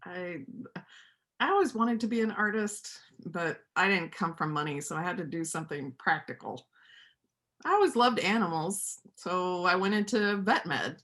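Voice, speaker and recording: A woman's voice: on the low side, expressive, normal speed, a bit bright. A woman acting a lonely, plainspoken veterinarian and painter in a church reader's theater play. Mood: earnest, subdued.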